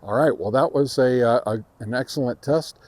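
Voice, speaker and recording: A man giving a casual, halting field-test commentary as host of a gear review, speaking outdoors. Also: mid-range voice, darkish tone, a little hiss or hum. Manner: halting, hesitant delivery. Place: outdoors in a park.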